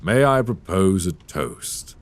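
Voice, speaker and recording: Very deep, suave sadistic vampire lord. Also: male voice, sing-song, takes it slowly, exaggerated voice. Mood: playful, insistent. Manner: courtly, teasing, menacing, savoring his words. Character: suave, sadistic male vampire lord courting his captive enemy. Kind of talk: intimate roleplay audio acting. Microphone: close binaural microphone. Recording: close binaural mic, clear background noise.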